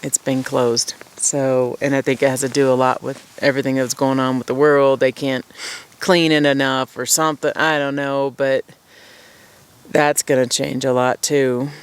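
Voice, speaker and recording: A woman with a low, smooth and resonant voice talks casually to her viewers from a forest camp. She rambles in a friendly, self-deprecating way. A mic mounted on the camera picks her up outdoors. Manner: rambling, chatty, laughs often, self-deprecating. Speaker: vlogger living at a wilderness camp.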